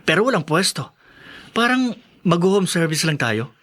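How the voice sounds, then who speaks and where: A man's voice: high-pitched, sing-song, a little slow, fairly bright tone, full character voice. A man playing a patient, hopeful young restaurant worker in a radio drama, reassuring and persuasive. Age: young adult. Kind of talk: Filipino radio drama acting.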